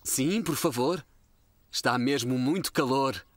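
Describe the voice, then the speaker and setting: A man's voice: mid-range voice, a little slow, soft background music, close to an everyday voice. A man with a European Portuguese accent playing a polite, matter-of-fact police officer in a children's cartoon dub. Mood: friendly polite.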